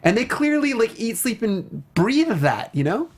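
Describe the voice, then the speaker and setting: A man's voice: very high-pitched, big pitch swings, measured pace. A man hosting a casual discussion show, speaking in an enthusiastic, effusive way. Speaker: talk show host. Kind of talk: casual film and video game discussion.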